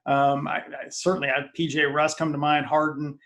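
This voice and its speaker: Man, slightly high pitch, gravelly fry, halting delivery, casual sports commentary, guest. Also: flat delivery, fairly bright tone. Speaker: sports fan-site founder, guest.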